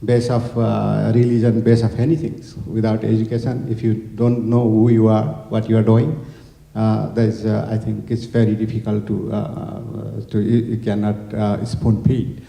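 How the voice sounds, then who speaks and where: A middle-aged man from Nepal giving a hesitant speech to a gathering, with many pauses and fillers. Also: lowish voice, monotone, creaky, mellow. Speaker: honorary consul general and college owner. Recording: echoey hall.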